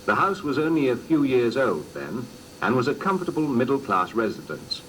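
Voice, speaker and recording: A man reading scripted documentary narration in a measured, formal storytelling manner, on an old cassette recording. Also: mid-range voice, big pitch swings, fairly bright tone, slight background noise, poor audio.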